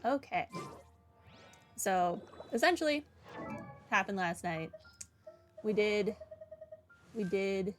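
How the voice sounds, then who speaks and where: A woman's voice: medium pitch, animated, slow pace, pauses often. A woman streamer giving casual, rambling game commentary in a dry, wry manner. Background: game music.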